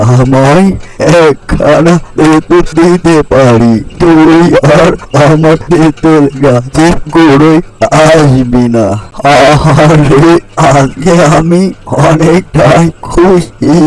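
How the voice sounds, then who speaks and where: Slow-talking scolding old granny, voiced by a man. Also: on the high side, darkish tone, low-quality recording. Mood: pleased. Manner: slow, drawn-out, exclamatory delivery. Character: scolding old village granny guarding her tamarind tree. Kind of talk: cartoon fairy-tale acting.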